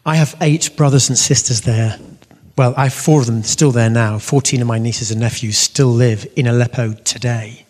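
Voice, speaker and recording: A man giving an animated faith testimony to an audience, telling his story with bursts of loud emphasis. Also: mid-range voice, moderate pace. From half Syrian. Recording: long reverb of a hall.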